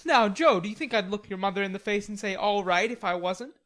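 A woman voicing a cheerful, theatrical young gentleman who teases and exclaims, as character dialogue in an audiobook. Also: mid-range voice, a little fast, voice pushed up, natural voice. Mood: mildly injured. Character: cheerful, teasing young gentleman, tall and melodramatic.

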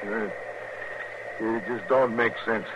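Drawling, sullen Western outlaw. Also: male voice, on the low side, fairly even intonation, slow pace. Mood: sullen, puzzled. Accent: rural Western American. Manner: drawling, uneducated folksy speech. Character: sullen, distrustful cowboy cattle rustler under arrest. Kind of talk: 1950s radio western acting. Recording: muffled, noisy 1950s radio recording.

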